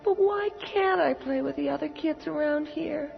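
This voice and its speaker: A woman's voice: sits high, normal speed, creaky. A woman playing an innocent, earnest little girl, plaintive and pleading, in an old-time radio drama. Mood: pleading protest. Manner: plaintive, childlike. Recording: muffled old radio recording with music.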